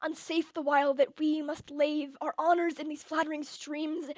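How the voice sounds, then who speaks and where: A young woman acting a brooding, tormented, murder-plotting king in Shakespearean verse, moving between hushed and declamatory delivery. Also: sits high, level tone, moderate pace, dry recording, lo-fi sound, natural voice. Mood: brooding, secretive. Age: young adult.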